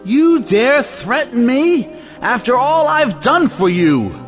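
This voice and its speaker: A man's voice: very high-pitched, very animated, slow, soft background music, full character voice. A man playing a wealthy, domineering family patriarch in an audio play, blustering and persuasive. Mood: indignant.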